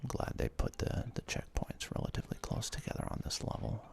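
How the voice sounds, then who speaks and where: A man giving ASMR let's play commentary in a breathy, gravelly whisper, close to the microphone, with relaxed, self-deprecating asides. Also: a little fast, a little hiss or hum. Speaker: host of a gaming ASMR series.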